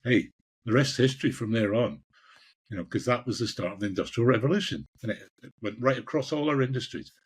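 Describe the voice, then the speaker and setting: A Scottish man in his sixties with a husky voice, answering interview questions in a passionate, emphatic way and repeating phrases for emphasis. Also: medium pitch, a little fast. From Scotland. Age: 60s. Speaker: executive coach, podcast guest.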